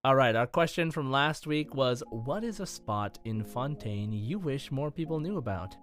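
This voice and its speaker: A man's voice: mid-range voice, lively intonation, normal speed, fairly bright tone. Casual male podcast host, music behind. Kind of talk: casual gaming podcast chat.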